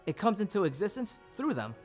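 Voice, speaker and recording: A man's voice: high voice, very animated, brisk, soft background music. A man giving a scripted, staged recitation as a readers theater performer.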